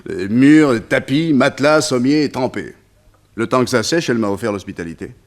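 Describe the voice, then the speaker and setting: A man's voice: mid-range voice, lively intonation, moderate pace, lightly acted. An actor playing a wistful former colonial officer turned circus tamer in a French radio serial, telling his story in a conversational, hesitant way. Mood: resigned.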